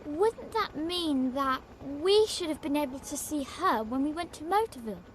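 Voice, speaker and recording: A woman voicing an earnest, curious, innocent young boy hero in an animated video game. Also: high-pitched, normal speed, a little hiss or hum. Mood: puzzled.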